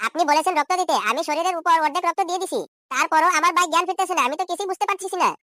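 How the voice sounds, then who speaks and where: Casting: man to play excitable, fretting young cartoon man, very high altered voice, gabbling pace, dry sound. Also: racing, no echo, full character voice. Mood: anxious, pleading. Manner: pleading, exclaiming, questioning. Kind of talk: comedy cartoon dub.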